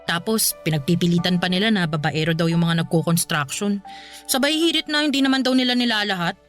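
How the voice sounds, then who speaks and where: A woman playing an earnest, loyal young woman in love in a radio drama, sincere and gently protesting. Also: fairly low pitch, fast talker, faint music behind, lightly acted. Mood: sincere, troubled. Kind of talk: Filipino radio drama acting.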